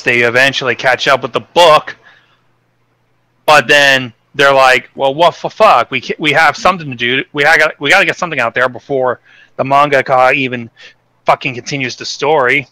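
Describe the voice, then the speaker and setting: Crisp, bright-voiced man explaining things. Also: medium pitch, steady tone, moderate pace, dry recording, poor audio. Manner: explanatory, swears casually. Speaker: podcast guest. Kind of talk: casual opinionated discussion.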